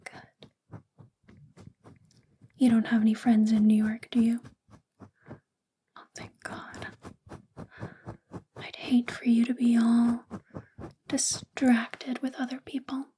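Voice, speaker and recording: Slow, whispering possessive female boss, acted by a woman. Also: on the high side, little pitch movement, exaggerated voice. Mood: coaxing, possessive. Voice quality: breathy, whispery soft voice. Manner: slow, intimate, coaxing, teasing. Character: possessive, obsessed, flirtatious female boss doting on employee. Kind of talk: soft-spoken ASMR roleplay.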